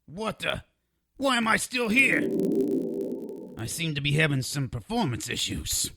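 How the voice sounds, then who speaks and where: A brash, cranky male sidekick, played by an actor in an audio drama, always griping and exasperated. His voice is high and sharp with a gravelly creak, swinging in pitch as he wisecracks. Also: sing-song, measured pace, heavily acted. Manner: exasperated, complaining, mouthy. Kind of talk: comedic audio drama acting.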